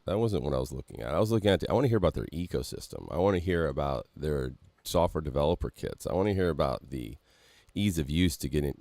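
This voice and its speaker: A man's voice: a bass voice, no room sound. A man hosting a podcast over a call, talking casually with lots of fillers and the odd swear word. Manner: animated, swearing, many fillers. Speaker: podcast host. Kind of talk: casual chat.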